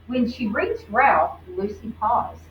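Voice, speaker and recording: A woman reads a children's story aloud at an unhurried pace, with a fairly high voice and teacherly emphasis. She sounds set back from the microphone in an echoing room, and addresses her listeners as she goes. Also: lively intonation. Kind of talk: expressive picture-book read-aloud with questions to the listeners. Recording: roomy, distant, dull low-fi with faint noise.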